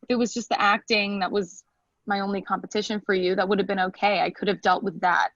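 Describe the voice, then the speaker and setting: A dry, slightly dull recording of a woman acting as a hurt, plain-spoken lawyer facing an ex. The delivery is naturalistic drama, halting and emotional, in a middle-pitched female voice. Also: little pitch movement, fairly bright tone, dry recording, lightly acted. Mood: hurt, reproachful. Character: hurt, blunt ex-partner, a hard-working lawyer. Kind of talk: screenplay table read, naturalistic acting.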